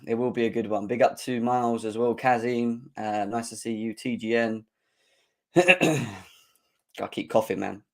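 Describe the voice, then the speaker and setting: A young man, his voice hoarse and croaky, hosting a live football fan show and chatting energetically while clearing his throat. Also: mid-range voice, darkish tone. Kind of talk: live-stream chat.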